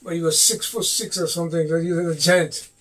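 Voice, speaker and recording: A man's voice: a little high, little pitch movement, fairly quick, a bit bright. An older man from Guyana with a Guyanese accent, telling stories from his youth in a casual chat and laughing often. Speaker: guest, host's father.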